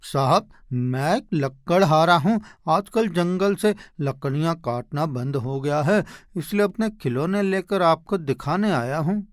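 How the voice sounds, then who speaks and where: A man voicing a poor, humble woodcutter husband in an animated moral story, earnest and expressive. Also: on the high side, a little slow, few pauses, no room sound, clean studio sound.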